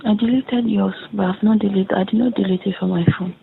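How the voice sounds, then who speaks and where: A young adult woman with a Nigerian accent casually recounts a conversation, haltingly and repetitively, quoting who said what. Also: medium pitch, steady tone, gravelly, slight background noise. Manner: halting, repetitive retelling with reported dialogue. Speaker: caller in a private phone conversation. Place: recorded phone conversation. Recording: muffled lo-fi recorded phone call.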